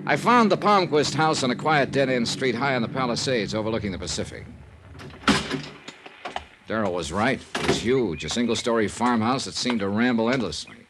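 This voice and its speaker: A man's voice: medium pitch, animated, normal speed, noisy background, close to an everyday voice. An American man playing a wry, hard-boiled investigator who narrates his case in a classic radio drama style. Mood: wry narrating. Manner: dry, wry first-person narration. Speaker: lead actor and narrator. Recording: old radio recording with music underneath.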